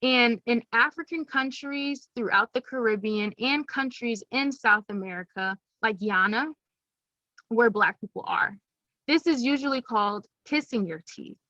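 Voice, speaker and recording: Young female presenter for a kids' class: higher voice, upbeat and clear, dull dry computer-mic sound. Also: unhurried, pushed and bright, dry recording. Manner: upbeat, teacherly, speaks plainly to children. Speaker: presenter to schoolchildren.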